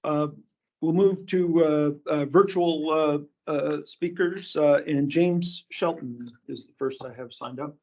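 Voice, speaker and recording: A man chairing a public meeting, speaking slowly and hesitantly with many fillers. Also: on the high side, darkish tone. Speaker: board chair. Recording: muffled, distant meeting audio.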